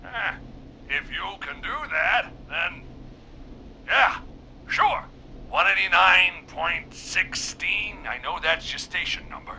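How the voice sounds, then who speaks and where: A man voicing an excitable, nervous newspaper editor in a video game, with a fussy, agitated, blustering manner. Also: high voice, slow pace, vocal fry, a little hiss or hum, full character voice, rough recording. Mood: tense, fussy.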